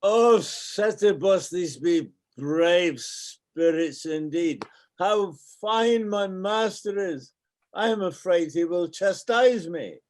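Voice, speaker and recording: A man playing a sailor and servant in a Shakespeare reading, with deliberate, dramatic phrasing. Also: high voice, expressive, darkish tone. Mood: fearful, rueful. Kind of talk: unrehearsed Shakespeare play reading.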